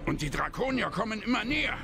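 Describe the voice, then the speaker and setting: An actor playing a gruff, grumbling, distrustful male dwarf warrior in a fantasy radio play. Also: high-pitched, sing-song, fast talker, full character voice. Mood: suspicious. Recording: echoey hall with music behind.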